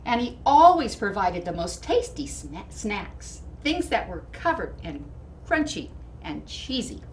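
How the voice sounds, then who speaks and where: A woman reading a storybook to children in expressive narration, with deliberate, emphatic phrasing. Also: medium pitch. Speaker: storybook reader. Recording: distant mic with clear background noise.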